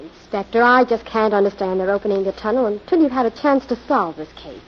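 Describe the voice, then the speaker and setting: An actress playing an earnest, anxious young assistant to an investigator, in 1940s radio style. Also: female voice, medium pitch, fairly quick, lightly acted. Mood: troubled. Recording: noisy, dull old radio recording.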